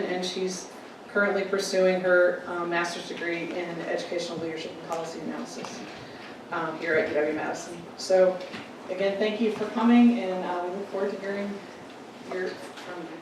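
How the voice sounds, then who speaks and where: A woman introducing a panel at a public event, reading bios with many ums and pauses. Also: fairly low pitch, noisy background. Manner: halting, many ums and restarts. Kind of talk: formal introductions. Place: lecture room with audience. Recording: echoey room from a distant mic.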